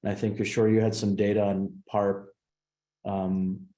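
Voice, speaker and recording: A man, a company executive, answering an analyst's questions on an earnings call in a measured, explanatory way with frequent ums. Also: lowish voice, flat delivery, warm tone, roomy sound.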